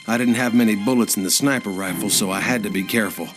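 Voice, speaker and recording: A man's voice: lowish voice, fast, natural voice. A man playing a rural American farmer who survived a zombie outbreak, narrating a video game story in plain folksy words. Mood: wary, resolute. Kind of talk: first-person scripted game cutscene narration. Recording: music behind the voice.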